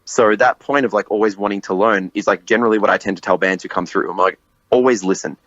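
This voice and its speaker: A man's voice: lowish voice, quick pace, fairly bright tone, no room sound, muffled recording. A man in his late twenties hosting a podcast, chatting casually and animatedly, swearing and laughing often. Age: 20s. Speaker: podcast host. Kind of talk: casual conversation.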